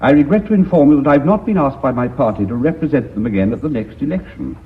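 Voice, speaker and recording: An actor playing a hesitant, self-important politician with a British accent, speaking formally and oratorically in a radio drama. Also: male voice, mid-range voice, animated, quick pace, natural voice. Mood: awkward, regretful. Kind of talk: BBC radio drama acting. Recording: thin, phone-like old radio recording.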